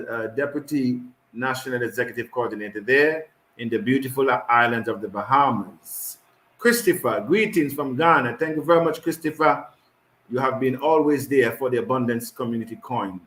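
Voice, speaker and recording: A man's voice: mid-range voice, a bit bright, reverberant, poor audio. A man hosting an online community call, reading out viewers' greetings by name, stumbling over names and laughing often. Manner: reads names haltingly with frequent laughs.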